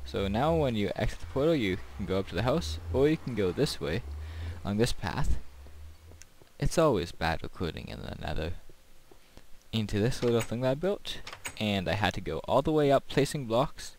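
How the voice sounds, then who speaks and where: A man's voice: on the low side, lively intonation, normal speed, a little mellow, a little hiss or hum. A man giving casual gameplay commentary as a video host, rambling and thinking aloud.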